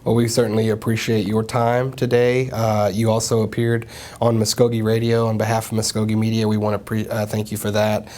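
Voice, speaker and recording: A man hosting a news show in a broadcast studio, interviewing a guest and wrapping up in a steady, conversational way with frequent fillers. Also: lowish voice, fairly quick.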